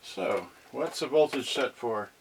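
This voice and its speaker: A man's voice: mid-range voice, animated, unhurried. A man giving a casual, unscripted unboxing as host, rambling and thinking aloud, often trailing off mid-thought. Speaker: host of an electronics unboxing channel. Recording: noisy home video with clicks.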